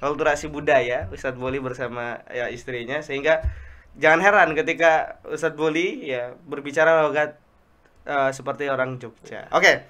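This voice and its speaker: A man hosting a casual religious talk show, chatty and quick to prompt his guest. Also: on the high side, steady tone, pushed and bright, lo-fi sound.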